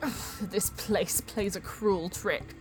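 A woman playing a solemn Eldar bonesinger who narrates her quest in a measured, poetic way in an audio drama. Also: medium pitch, natural voice. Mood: frustrated. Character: female Eldar bonesinger, solemn, mystical, easily frustrated seeker. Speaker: narrator and lead character. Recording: music under the voice. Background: background music.

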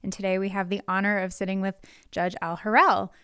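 A woman co-hosts a podcast with a smooth, clear voice. She asks her questions in a friendly, casual way and laughs readily. Her pitch sits in the middle. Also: level tone, fairly quick, fairly bright tone. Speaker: podcast co-host, interviewer. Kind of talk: casual interview chat.

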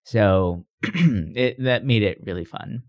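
Man, slightly low pitch, dry sound, casual gaming chat, informal, podcast co-host. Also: slow pace, darkish tone, no echo.

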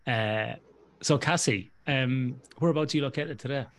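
A man's voice: medium pitch, normal speed. A man with an Irish accent hosting a podcast in a casual, joking, teasing interview style. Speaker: podcast host.

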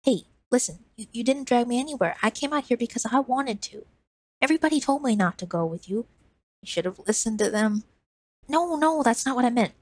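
Brief: woman reading a young man, earnest and pleading, high and hurried, husky dry sound. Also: lively intonation, a little mellow, no echo. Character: eager, trusting young man, hopeful assistant.

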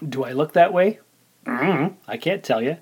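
A man's voice: a little high, animated, normal speed, a little mellow, slightly rough. A man in his forties hosting a solo podcast, talking informally and thinking aloud as he rambles along. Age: 40s. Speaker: podcast host. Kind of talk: casual solo podcast monologue.

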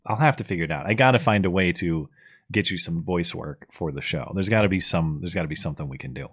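A man hosting a call-in talk show, rambling and enthusiastic as he thinks out loud with his caller. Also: deep voice, fast talker, breathy edge. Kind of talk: casual call-in chat.

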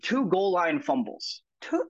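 A man's voice: high voice, very animated, unhurried, dry recording. A young man co-hosting a football podcast, arguing animatedly with lots of stammered restarts. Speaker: podcast co-host. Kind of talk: casual football podcast chat. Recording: dull dry lo-fi sound.

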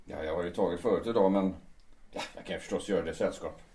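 An actor playing Urban, a resentful, vengeful male stage colleague who speaks in a sardonic, calmly menacing way. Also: deep voice, roomy sound, natural voice. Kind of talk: audio drama acting.

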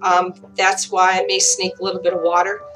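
A woman with a dry voice giving an informal online Bible teaching, halting often with fillers and restarts. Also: lowish voice, monotone, fairly bright tone, rough recording. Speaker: online preacher.

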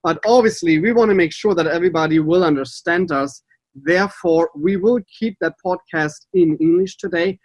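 A man's voice: a little high, normal speed, no room sound. A man with a German accent, a native German speaker, hosting a podcast in English as a water sommelier, upbeat and chatty. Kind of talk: casual podcast chat.

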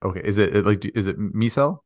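A man's voice: on the low side, racing, no echo, clean studio sound. A man hosting an interview, asking polite, hesitant questions full of fillers and apologies. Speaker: host, practitioner. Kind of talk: interview, casual chat.

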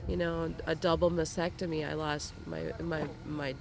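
A woman being interviewed in a vendor tent outdoors at a festival, talking slowly and haltingly with many fillers. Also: low-pitched, little pitch movement, creaky, crowd noise. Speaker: interview guest. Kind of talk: casual interview. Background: faint air compressor noise.

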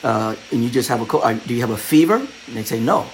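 A man's voice: mid-range voice, expressive, quick pace, a little hiss or hum, low-quality recording. A man preaching a sermon as pastor, in a repetitive, conversational style that builds its emphasis.

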